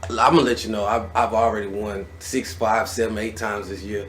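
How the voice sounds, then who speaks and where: A man hosting a casual interview, talking in an animated way and building his questions out loud. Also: lowish voice, moderate pace, slight background noise. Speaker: interviewer, show host.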